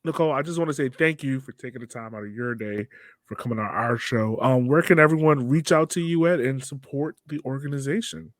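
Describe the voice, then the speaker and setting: A man's voice: medium pitch, fairly quick, dry recording. A man hosting a podcast, talking in a laid-back, informal interview style. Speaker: podcast host. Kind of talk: casual interview chat.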